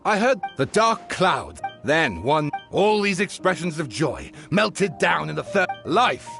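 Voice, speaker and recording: A man playing an excitable, dreamy young novelist in an anime-style video game, speaking in an eager, theatrical way. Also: on the high side, slow, creaky, soft background music, exaggerated voice. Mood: elated, inspired.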